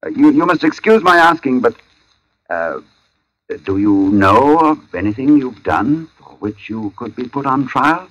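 An actor playing a grave, measured artist who narrates in the first person in an old radio drama. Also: male voice, medium pitch, lively intonation, a bit bright, no room sound. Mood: uneasy, measured. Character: artist recounting an uncanny encounter, grave and measured. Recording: dull old radio recording, music behind.

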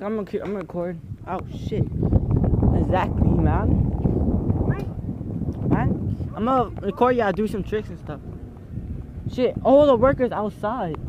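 A man's voice: sits high, highs cut off. A young man talking casually and loosely to viewers while filming with a handheld camera, indoors and then outside. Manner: slangy, loose, talks to viewers while moving. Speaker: vlogger filming friends. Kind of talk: casual vlog chat. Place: store aisles, then outdoors in parking lot and street. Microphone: handheld or body camera. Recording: noisy handheld camera audio.

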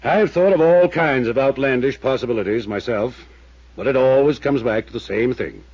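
A tired small-town mayor, speaking in a husky, earnest, measured way. His voice comes through dry and muffled on an old radio recording. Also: medium pitch, no echo. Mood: weary. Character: weary, careful small-town male mayor. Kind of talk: 1950s radio drama acting.

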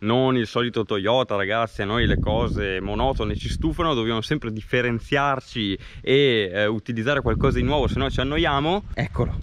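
A young man talks straight into a handheld action camera out in open farm fields, easygoing and chatty as he walks viewers through his crops. His voice sits at an ordinary middle pitch, and steady wind rumbles across the mic the whole time. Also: moderate pace, few pauses. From Italy. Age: young adult. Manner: chatty, explains crops in detail, addresses viewers as guys. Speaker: farmer vlogger. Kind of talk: casual farm vlog to camera. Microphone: action camera held at arm's length.

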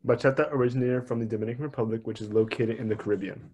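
Student presenter from Argentina, rushed reading. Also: mid-range voice, fairly even intonation, darkish tone, slightly rough. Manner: reading from slides.

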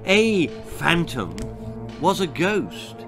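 A British man in his sixties reading a children's story aloud theatrically, with deliberate phrasing and dramatic pauses. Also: on the high side, sing-song, over music. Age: 60s. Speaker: author reading his own stories. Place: small indoor room lined with books.

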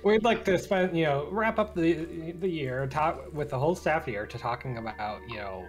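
A man's voice: fairly high pitch, moderate pace, faint music behind. A man hosting a casual chat show, putting questions to his colleagues. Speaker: show host.

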